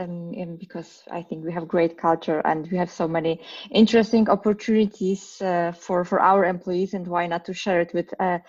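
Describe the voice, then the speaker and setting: A woman's voice: fairly low pitch, a little mellow, highs cut off. A woman in her thirties from Poland with a Polish accent, answering podcast questions earnestly with many hesitant fillers. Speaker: podcast guest. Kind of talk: casual interview answers.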